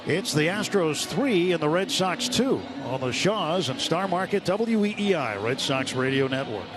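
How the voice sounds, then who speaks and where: A man calling baseball play-by-play live on the radio in a measured, descriptive way. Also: a little high, expressive, over music. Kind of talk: live sports commentary. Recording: clear stadium noise behind live broadcast. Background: outdoor ballpark ambience.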